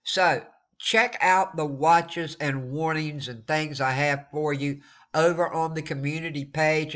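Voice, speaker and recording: A man's voice: fairly high pitch, pushed and bright. Stuffed-up man giving weather. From eastern North Carolina. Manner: friendly, repeats words for emphasis, warns listeners to stay safe. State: stuffed-up nose. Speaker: weather vlogger. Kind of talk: casual weather forecast over maps.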